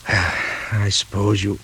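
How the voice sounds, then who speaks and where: An actor playing a sly, ailing veteran newspaperman with a husky, halting, weary voice in 1940s radio style. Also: lowish voice, pushed and bright, slight background noise, full character voice, poor audio. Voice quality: gravelly, husky. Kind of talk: 1940s radio drama acting.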